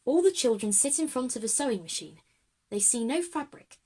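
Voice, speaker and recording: A woman's voice: fairly high pitch, animated, fairly quick, warm tone, husky. A woman reading scripted narration as the narrator of an audio drama for children.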